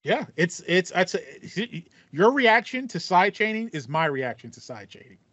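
A man's voice: high-pitched, very animated, a little slow, a bit bright, no room sound, lo-fi sound. A young man hosting a podcast, chatting casually and acting out his reactions as he tells stories. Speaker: podcast host. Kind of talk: casual chat. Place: small home room with foam panel, indoors.

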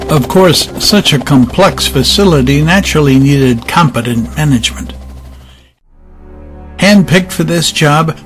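A man's voice: fairly high pitch, lightly acted. A man giving scripted dramatic narration as the narrator of an audio story. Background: faint music.

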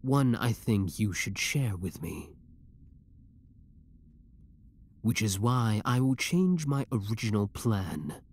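A man playing an obsessive, menacing young villain with cold devotion, speaking deliberately and theatrically in a scripted ASMR roleplay. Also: lowish voice, vocal fry, darkish tone, exaggerated voice. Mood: calculating, obsessive.

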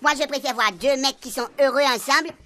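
Chatty female police secretary, brisk, expressive, higher voice, radio comedy. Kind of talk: comic radio soap acting.

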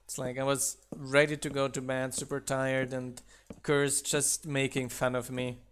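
Male non-native English streamer, mid-pitched, slow and relaxed, casual build-along commentary, faint hiss behind. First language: not English. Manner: slow, relaxed, counts blocks aloud, laughs at himself. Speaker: mod developer streaming gameplay.

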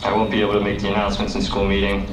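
A man's voice: lowish voice, completely flat delivery, very fast, over a noisy crowd. A young man speaking at a podium on an outdoor lawn, delivering an earnest commencement speech that rises to emphatic declaiming. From United States. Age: young adult. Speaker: student speaker. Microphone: podium microphone, recorded by a distant camera. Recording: roomy, distant, dull outdoor PA sound.

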